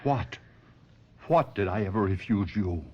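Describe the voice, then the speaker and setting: An actor playing an anguished stage performer and husband in an old-time radio drama, husky and theatrical. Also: male voice, fairly low pitch, animated, a little slow, a little mellow. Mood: defensive. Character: despairing, tormented middle-aged stage ventriloquist husband. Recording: muffled vintage radio drama recording.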